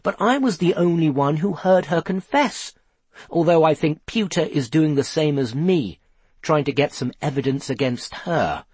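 A man voicing an earnest, excitable boy who plays amateur sleuth in a children's story, anxious and blustering. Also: a little high, normal speed, breathy edge, no echo.

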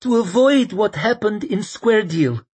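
A man's voice: high voice, animated, unhurried, darkish tone, slightly rough, pitched above the performer's own voice, exaggerated voice. A man voicing an earnest, idealistic young priest who pleads passionately. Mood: earnest pleading. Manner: pleading, impassioned.

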